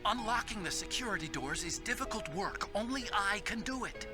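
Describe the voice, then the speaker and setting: A man's voice: sits high, expressive, brisk. An actor playing an earnest, nerdy young male engineer who helps out over the radio in a video game. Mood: resolute. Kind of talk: dramatic video game radio dialogue acting. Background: music.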